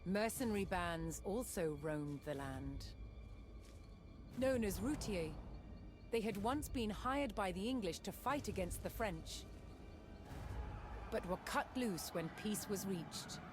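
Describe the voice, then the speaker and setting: A woman reading scripted historical documentary narration in a measured, dramatic storytelling manner with deliberate pauses. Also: mid-range voice, lively intonation. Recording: studio narration over background music. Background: music.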